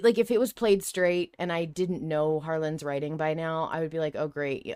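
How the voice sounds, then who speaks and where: Lower-voiced woman chatting on a podcast. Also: no echo, studio quality. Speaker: podcast host. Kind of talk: casual podcast discussion.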